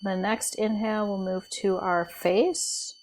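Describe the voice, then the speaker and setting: A woman leading a guided body scan meditation as a teacher, speaking in a gentle, soothing, unhurried way with pauses. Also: medium pitch, steady tone, slight background noise.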